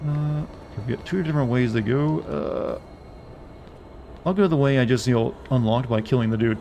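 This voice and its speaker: A man streaming a game, chatting wryly with joking asides, his voice a bit raspy. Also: mid-range voice, moderate pace, dark tone, a little hiss or hum. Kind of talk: live gameplay commentary. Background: faint game music.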